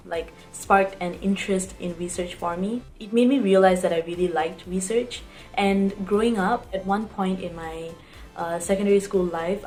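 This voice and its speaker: Low-pitched young Singaporean woman vlogging. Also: a little mellow. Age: young adult. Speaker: student vlogger. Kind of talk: casual personal storytelling to camera.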